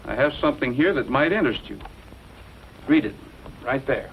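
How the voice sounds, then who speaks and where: Man as young cowboy hero, kindly, steady, mid-pitched, 1940s western drama, lo-fi sound with noise. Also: normal speed, natural voice. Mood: polite, surprised. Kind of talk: 1940s film and radio western acting. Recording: dull lo-fi old recording, background noise.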